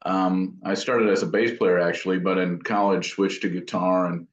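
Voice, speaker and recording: A man's voice: low voice, steady tone, moderate pace. A middle-aged man giving casual, thoughtful interview answers as an amp builder, hesitating as he reminisces. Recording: roomy, dull lo-fi sound.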